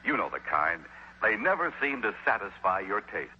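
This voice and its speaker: A man's voice: lowish voice, sing-song, normal speed, husky. An American man delivering a 1960s radio advertisement as a commercial announcer. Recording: dull, faintly noisy old radio recording.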